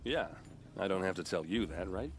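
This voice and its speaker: Deep-voiced boastful warrior. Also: male voice, expressive, normal speed. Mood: chatty. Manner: chatty and boastful, easygoing. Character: boastful friendly male warrior from a steelworking tribe. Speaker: soldier in a king's vanguard. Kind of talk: video game cutscene dialogue. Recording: game audio with ambient noise.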